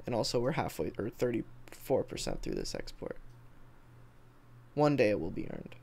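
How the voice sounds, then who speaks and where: A man's voice: mid-range voice, vocal fry, darkish tone. An American man from Texas chatting informally on a livestream, rambling and swearing as he reads and answers comments. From Texas, United States. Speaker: livestreamer and video editor. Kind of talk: casual livestream chat, reading and answering comments.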